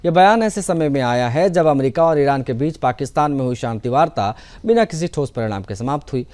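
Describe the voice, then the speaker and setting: A man's voice: mid-range voice, animated, fairly quick, a little mellow. A man presenting scripted news as narration in a formal, emphatic manner.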